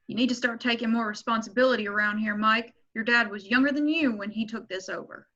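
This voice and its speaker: A stern, blunt grandfather in his sixties lectures with hard-won authority, as a woman reads him. The voice is bright and higher than most, and the audio sounds dull and lo-fi, like a home call. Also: quick pace. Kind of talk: amateur table read.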